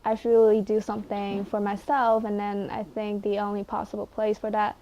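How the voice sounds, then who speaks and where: A young woman from China, a college freshman, giving measured interview answers with pauses between phrases. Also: mid-range voice, monotone, warm tone, slight background noise, rough recording. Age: young adult.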